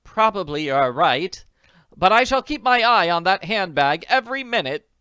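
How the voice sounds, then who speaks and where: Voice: medium pitch, big pitch swings, normal speed, bright, forceful tone, smooth, no room sound, lo-fi sound, voice pushed up. A reader voicing a fretful, wailing lady. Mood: worried. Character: anxious older woman dining out.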